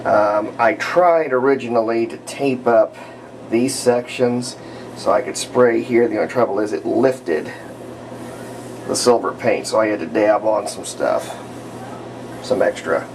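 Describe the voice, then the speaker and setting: Casual man with a gravelly, creaky voice. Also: mid-range voice, darkish tone, a little hiss or hum, low-quality recording. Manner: unhurried, rambling, explaining step by step. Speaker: hobbyist radio restorer presenting own repair videos. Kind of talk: casual hands-on restoration commentary. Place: indoors at home, partly in a bathroom.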